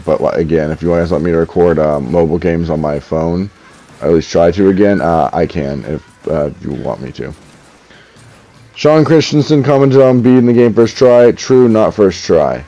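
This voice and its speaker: A young man with a deep voice, reading viewer comments aloud while gaming at home, dry and a little sarcastic, often stammering as he restarts his sentences. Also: brisk, dark tone. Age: young adult. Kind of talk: casual commentary while playing. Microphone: headset. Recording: slightly dull lo-fi audio.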